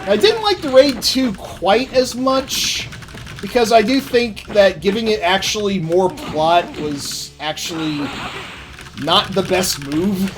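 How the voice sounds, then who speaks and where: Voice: mid-range voice, expressive, slow pace, noisy background. A streamer chatting casually while playing, rambling in an offhand way and swearing freely. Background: game music.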